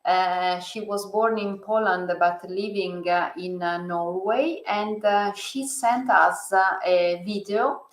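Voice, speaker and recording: A woman hosting a live public talk, speaking haltingly with frequent pauses and fillers. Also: mid-range voice, fairly even intonation. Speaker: event host and interviewer. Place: museum hall. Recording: echoey hall.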